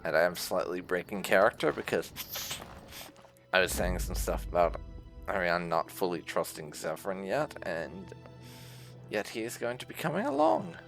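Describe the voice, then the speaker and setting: A man's voice: deep voice, very animated, normal speed, gravelly, a bit bright, soft background music. A man giving casual playthrough commentary, rambling a little as he thinks aloud. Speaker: gaming video host.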